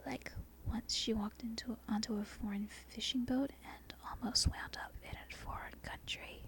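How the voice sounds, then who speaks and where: A woman from Australia with a softened Australian accent, whispering an unscripted ASMR ramble in a halting, breathy way, often correcting herself. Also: on the high side, fairly even intonation. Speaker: amateur ASMR video creator. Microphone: non-binaural microphone.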